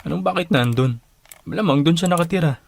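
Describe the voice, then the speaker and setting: An actor playing a reluctant, soft-hearted young son who protests plaintively in a Tagalog radio drama. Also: male voice, fairly high pitch, fast talker, vocal fry, no room sound. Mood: exasperated.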